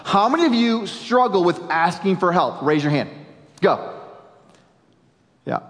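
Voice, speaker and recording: A man in his forties preaching a sermon as a pastor, animated and jokey, dropping suddenly to quiet emphasis. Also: sits high, unhurried. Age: 40s. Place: large church auditorium. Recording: long hall reverb.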